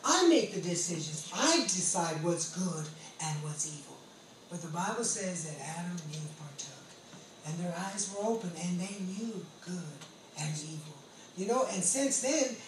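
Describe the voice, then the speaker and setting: A man's voice: sits high, lively intonation, a little slow. An American man in his late forties giving an earnest, emphatic testimony as a preacher, often repeating his phrases. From California, United States. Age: late 40s. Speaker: pastor and ex-convict preacher. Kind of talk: testimony sermon. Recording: roomy echo, distant mic, background noise.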